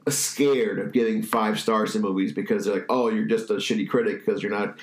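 A man's voice: fairly low pitch, fairly even intonation, a little fast. Congested man talking in an echoey room. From New England. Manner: loose, profane, opinionated riffing. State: congested, stuffy nose. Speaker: podcast host. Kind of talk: casual chat.

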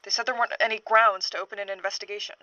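A woman playing a troubled university scientist giving an earnest, halting witness statement in a horror audio drama. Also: mid-range voice, steady tone, sharp tone, dry recording, highs cut off, close to an everyday voice. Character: troubled female university biologist reporting a missing colleague.

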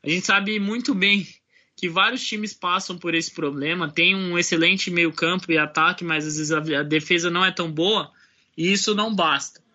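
A man with a high, very bright voice weighs in on a casual panel. He speaks thoughtfully, stopping and restarting his sentences. The audio sounds dull and lo-fi, as if from a remote recording. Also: fairly even intonation, normal speed. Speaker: podcast panelist. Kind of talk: casual sports discussion.

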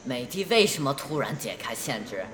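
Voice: medium pitch, sing-song, normal speed, a bit bright, slight background noise. A performer playing a cheeky younger brother in a radio drama, teasing in a sardonic, pointed way. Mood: sarcastic. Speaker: voice actor. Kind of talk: Chinese radio drama dialogue.

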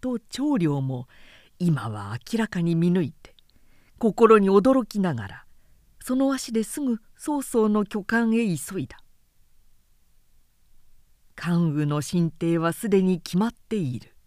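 A woman narrating a historical novel as a scripted recitation, measured and dramatic in delivery. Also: on the low side, animated, dark tone, no echo, studio quality.

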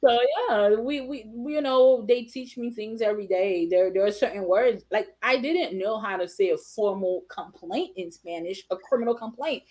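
A woman speaks as a guest in a relaxed interview, an attorney who runs her own business. Her voice sits at an average pitch, and she makes her points with assured, emphatic short statements. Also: normal speed, few pauses, poor audio. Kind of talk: casual interview conversation.

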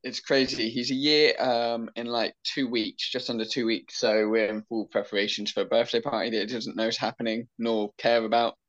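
A man co-hosting a casual podcast, talking in a relaxed, rambling way with lots of fillers. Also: mid-range voice, fairly quick, few pauses, muffled recording. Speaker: podcast co-host.

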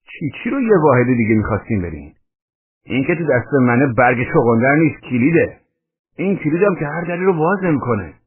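A man's voice: mid-range voice, big pitch swings, breathy edge, pitched above the performer's own voice. A man voicing a brash, blustering, argumentative male intruder, on a tinny, band-limited recording. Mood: threatening.

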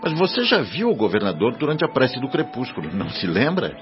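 An actor playing a kindly, earnest spirit guide who explains things reverently in radio soap style. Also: male voice, medium pitch, sing-song, natural voice. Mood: instructive. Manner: didactic, reverent, measured. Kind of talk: Brazilian radio soap acting. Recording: dull radio drama with music behind.

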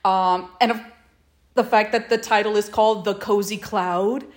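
A woman's voice: medium pitch, fairly even intonation, moderate pace. Gushing woman chatting as podcast co-host. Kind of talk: casual fan chat.

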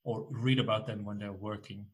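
A man's voice: lowish voice, steady tone, normal speed. A man hosting a podcast, asking questions in a loose, searching way that often restarts mid-sentence. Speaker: podcast host. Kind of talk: casual interview chat.

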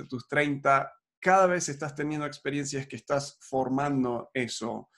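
A man, coaching in a casual chat, upbeat and peppered with fillers. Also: mid-range voice. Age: 40s.

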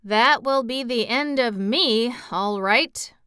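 Brief: a woman doing a sad, gentle straw man, slow and drawn-out, smooth and clear. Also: a little high, no echo, studio quality, voice pushed up. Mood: sorrowful. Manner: sorrowful, slow.

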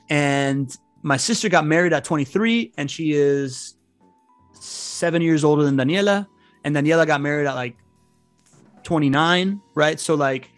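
A man's voice: a little high, dry recording. A man in his thirties, a podcast guest, chatting casually and telling rambling stories with many restarts. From Venezuelan family, grew up outside Venezuela. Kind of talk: casual interview chat.

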